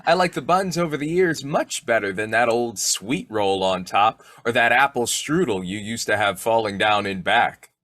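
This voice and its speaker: Man playing snoopy, blustering radio-comedy husband, swooping pitch. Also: expressive, moderate pace, hardly any pauses, fairly bright tone, no room sound, clean studio sound, natural voice. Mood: sheepish. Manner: muttering to himself, stammering, exclaiming. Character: Fibber, snoopy blustering husband, sly and childlike. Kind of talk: old-time radio comedy acting.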